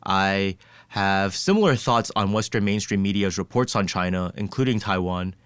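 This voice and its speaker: A young man hosting a podcast, reading a measured scripted introduction and then chatting with his guest. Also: low-pitched, animated, fairly bright tone, clean tone, dry recording. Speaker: podcast host. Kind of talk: scripted intro and casual interview.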